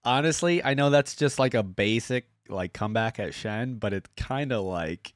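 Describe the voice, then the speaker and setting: A man's voice: mid-range voice, animated, moderate pace, no room sound, studio quality. A man doing casual, chatty reaction commentary, sometimes reading aloud in an informal, enthusiastic fan style. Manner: chatty, informal, easily impressed fan talk. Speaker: YouTube reaction host.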